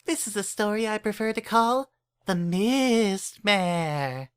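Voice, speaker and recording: A high, girlish cartoon filly spins a spooky tale with theatrical relish. She is a bossy young pony who wants to be heard out. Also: lively intonation, takes it slowly, soft background music. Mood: spooky, self-important.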